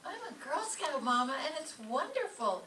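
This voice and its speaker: A woman's voice: high voice, big pitch swings, moderate pace. A woman playing a sweet, eager young daughter, a girl scout, in an old-time radio comedy. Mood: eager, affectionate. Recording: echoey stage, far from mic, faint noise.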